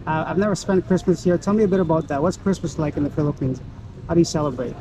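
A young woman interviewing people on the street outdoors, chatty and upbeat, asking quick questions. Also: low-pitched, background noise. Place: outdoors in a city plaza.

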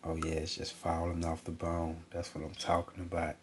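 A man's voice: low voice, completely flat delivery, moderate pace, a little hiss or hum. A man in his thirties filming himself on a phone in a small home kitchen, talking in a laid-back, slangy way as he shows a recipe. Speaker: cooking channel host. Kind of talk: casual home cooking vlog. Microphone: phone camera held at arm's length.

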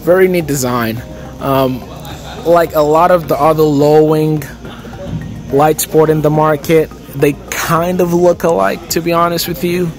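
A man giving a casual, relaxed walkaround tour as a vlogger, filling pauses with "okay" and "uh", recorded on a handheld phone in a big indoor hangar. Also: on the high side. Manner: relaxed, chatty, lots of "okay" and "uh". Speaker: aviation vlogger. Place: large aircraft hangar at an expo, indoors. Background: music and crowd chatter.

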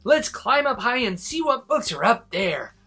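A man's voice: very high-pitched, big pitch swings, measured pace, sharp tone, slightly rough, voice pushed up, exaggerated voice. A man voicing a bold, eager young girl mouse explorer in a children's storybook read-aloud. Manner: eager, exclamatory.

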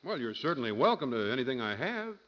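A man's voice: medium pitch, sing-song, fast, close to an everyday voice. An actor playing a polite, cautious city man, the straight man in an old radio comedy. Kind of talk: 1940s-50s radio comedy acting. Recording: echoing, dull, noisy old radio recording.

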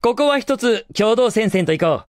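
A man's voice: sits high, expressive, normal speed, dry recording, clean studio sound. An actor playing a cocky, confident young male hedgehog hero in a Japanese video game, with a cool, casual delivery. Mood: cocky, taunting.

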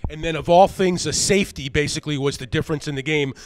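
A man's voice: on the high side, fast, a little hiss or hum. A middle-aged man interviewing a coach after a game, recapping plays in detail before each question, on a handheld mic indoors. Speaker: sports interviewer. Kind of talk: postgame interview. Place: indoor locker room area.

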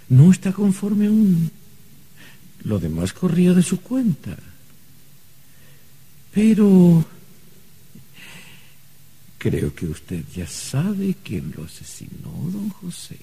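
An actor playing a calm, sly otherworldly official in a radio drama, husky, measured, polite and knowing. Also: male voice, mid-range voice, full character voice. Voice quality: very husky, dark. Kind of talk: old radio drama acting.

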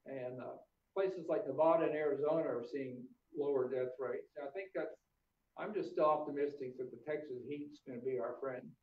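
An older man, a family doctor, speaking in a relaxed, optimistic way with many filler uhs. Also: mid-range voice, normal speed. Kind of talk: casual video interview. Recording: echoey, distant, phone-like audio.

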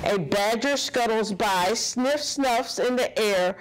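A middle-aged woman reading a picture book to children in a deliberate, dramatic, expressive style. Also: a little high, noisy background. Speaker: children's story reader. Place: indoor office room. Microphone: lapel.

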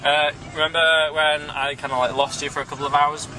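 A man's voice: on the high side, flat delivery, fast talker. A young man in casual, crude, rambling banter, filmed inside a car at night on a handheld camera. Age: young adult. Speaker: vlog co-host. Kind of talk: casual chat. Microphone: handheld camera mic. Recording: distant mic, road noise.

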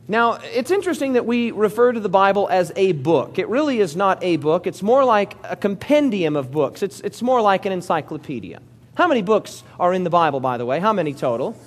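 A man's voice: high-pitched, fairly quick. A man preaching a sermon as pastor, talking with the congregation, asking questions and joking with them. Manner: lively, interactive preaching with audience questions and jokes. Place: church hall before a congregation.

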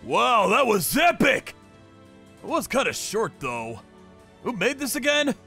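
Hot-headed anime gangster, high voice, gravelly, brash, excitable, young. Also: male voice, a little slow, faint music behind, full character voice. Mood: impressed. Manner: emphatic, excitable delivery. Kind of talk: anime voice acting.

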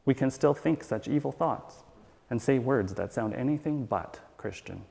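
A man's voice: mid-range voice, moderate pace, creaky, mellow. Man reading a sermon in a reverberant hall. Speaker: church elder reading a sermon aloud. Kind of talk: read sermon. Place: church sanctuary at a wooden pulpit, indoors.

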